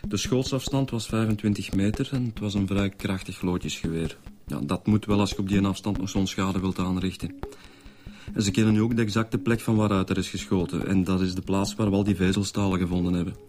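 An actor playing a polite, methodical police detective with a Flemish accent in a radio drama, matter-of-fact in manner. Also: male voice, sits low, fairly even intonation, fairly quick, darkish tone, close to an everyday voice. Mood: reporting.

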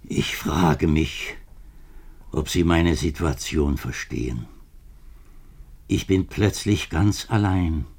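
Anxious, pleading minister in a 1950s radio play; deep, slow-paced, formal and halting. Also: male voice, a little mellow, slightly rough, full character voice. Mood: earnest, despairing. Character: distraught male government minister, middle-aged, anxious and pleading. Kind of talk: 1950s German radio drama acting.

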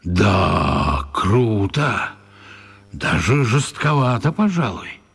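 A man's voice: lowish voice, big pitch swings, extremely slow, vocal fry, a bit bright, breathy edge, exaggerated voice. An actor playing a laconic man who muses critically in a radio play. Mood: musing. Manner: laconic, musing. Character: laconic man listener, slow and thoughtfully critical.

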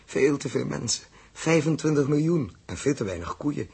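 An actor playing a troubled, indulgent man with a husky voice and a hesitant, musing manner, in 1960s Dutch radio drama style. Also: mid-range voice, animated, normal speed, darkish tone, heavily acted. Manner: hesitant, musing, thinking aloud. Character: troubled, indulgent grown man humouring a little girl.

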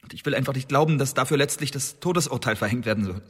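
A man acts out a desperate young agent on trial in a radio play. His husky voice is earnest and halting, stumbling as he pleads. A long reverb surrounds him. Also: mid-range voice, lightly acted. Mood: pleading, indignant. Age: late 20s. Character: desperate accused male agent of twenty-nine, pleading. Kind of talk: German radio drama acting. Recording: reverberant hall.

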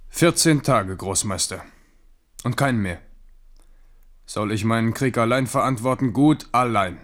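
A man's voice: medium pitch, unhurried, fairly bright tone, close to an everyday voice. An actor playing a proud, careworn French king in a German radio play, declamatory, commanding and ironic. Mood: defiant, resolute.

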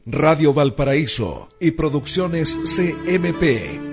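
A man's voice: fairly high pitch, animated, slow. A man, a radio announcer reading a scripted programme sign-off. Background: prominent music.

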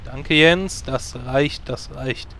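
An actor playing a guild leader who dismissively turns down a recruit in a matter-of-fact tone. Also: male voice, mid-range voice, slow, a bit bright, a little hiss or hum. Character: blunt male guild leader judging recruits.

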